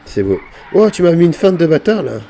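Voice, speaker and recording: A man's voice: fairly high pitch, very animated, a little fast, dark tone, slight background noise. A man in casual, mocking banter with slang and swearing, trolling in an online roleplay game. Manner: mocking, cheeky.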